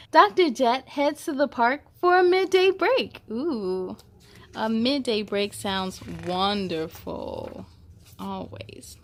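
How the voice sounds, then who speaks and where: A woman reading a picture book aloud for children, expressively, addressing young listeners with questions and exclamations. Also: high voice. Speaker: host of children's read-aloud story time.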